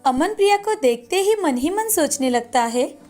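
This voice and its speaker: A woman's voice: sits high, expressive, moderate pace, a little mellow. A woman narrating a scripted moral story for a cartoon, in the manner of a storyteller. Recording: music bed behind the voice.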